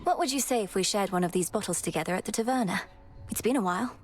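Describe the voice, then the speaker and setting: A young goddess princess voiced by a woman speaks at a medium pitch with formal, gracious composure. She sounds earnest and guardedly fond, in the manner of scripted fantasy game romance. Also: fast talker, lightly acted. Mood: composed, caring.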